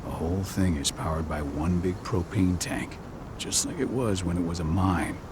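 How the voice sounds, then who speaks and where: A man playing a stern, skeptical, proud pack leader in a video game, speaking in a measured, formal, challenging way. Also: sits low, background noise. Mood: matter-of-fact.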